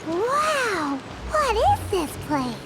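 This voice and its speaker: A woman voicing a tiny, childlike fairy companion in a video game, with a squeaky voice and an excitable, timid manner. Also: extremely slow, very noisy, full character voice. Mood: wondering, uneasy. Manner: speaks of herself in third person, childlike. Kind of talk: English video game voice acting. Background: loud game music.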